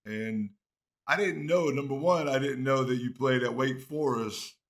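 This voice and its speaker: A man's voice: mid-range voice, a little slow, vocal fry. A middle-aged man with a mid-range voice hosts a casual interview. He talks in a loose, chatty way and laughs easily. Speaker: interview host, former player.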